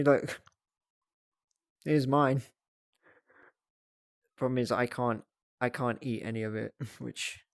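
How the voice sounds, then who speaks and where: A casual livestream host who talks at an unhurried pace and thinks aloud. The delivery is chatty and prone to giggles, with a mid-pitched voice. It is captured close and dry, with very little room sound. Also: dry recording. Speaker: livestreamer hosting a reaction stream.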